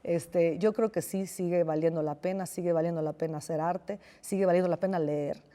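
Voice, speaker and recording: Una escritora de origen italiano con acento italiano, entrevistada en televisión; habla reflexiva, con titubeos y muchas pausas. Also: female voice, fairly low pitch, fairly even intonation, mellow. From Italy. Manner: thoughtful, hesitant, many restarts and fillers. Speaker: novelist guest. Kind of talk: television interview.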